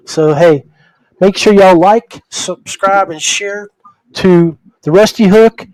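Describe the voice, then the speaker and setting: A man with a notably high voice hosts a casual livestream podcast. He talks in a chummy, informal way, calling people buddy and brother. The sound is dry and close, like a call with little room in it. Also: takes it slowly, darkish tone, no echo, rough recording. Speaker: podcast host. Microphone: podcast video call.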